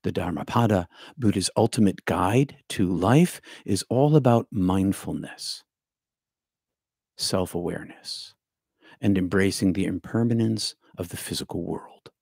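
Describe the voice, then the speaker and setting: Older male spiritual teacher, low gravelly voice, reads from notes to camera in a home study on a stand mic. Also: darkish tone, no echo, studio quality. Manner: reads from notes, drops to near whisper for emphasis. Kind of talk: scripted spiritual talk to camera. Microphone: studio mic on stand with headphones.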